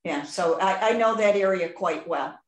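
A woman in her sixties with a Chicago accent, hosting a podcast interview in a chatty, animated way. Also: medium pitch, normal speed, roomy sound. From Chicago, USA. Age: 60s. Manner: chatty, animated, laughs often. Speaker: podcast host. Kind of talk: casual interview chat.

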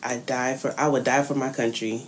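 A woman's voice: very deep voice, steady tone, moderate pace, slight background noise. A young woman co-hosting a podcast, chatting casually and teasing her husband, laid-back. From South Florida, Haitian parents. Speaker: podcast co-host. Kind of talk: casual chat.

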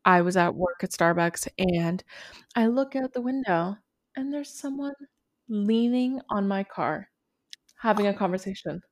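Animated young woman telling a story. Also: mid-range voice, measured pace. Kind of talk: casual chat.

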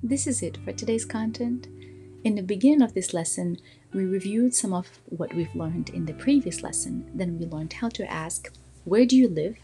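A woman teaching a language lesson, explaining and slowly repeating phrases for learners to copy. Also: mid-range voice, mellow. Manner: slow, deliberate repetition of phrases for learners; switches between explaining and modelling phrases.

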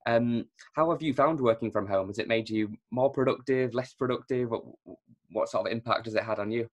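A man hosts a casual tech podcast interview over a video call. He asks his questions with plenty of ums. His voice sits at an ordinary middle pitch. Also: brisk. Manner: friendly, filler-heavy questioning. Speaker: recruiter and podcast host.

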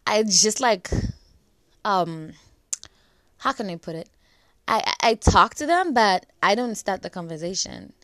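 A woman's voice: mid-range voice, expressive, unhurried, pauses often, a bit bright, no room sound. A young woman with a slightly cracked voice from a flu and dry cough, chatting casually and giving advice with lots of "like"s. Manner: chatty, filler-heavy, with many "like"s. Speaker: vlogger. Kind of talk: casual advice talk to camera.

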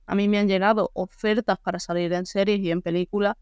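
A woman's voice: mid-range voice, level tone, fast, darkish tone, clear, resonant tone, dry recording, studio quality. A young woman with a southern Spanish accent from Ceuta, a podcast guest talking frankly and casually, full of fillers. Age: young adult. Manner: frank, talkative, lots of fillers.

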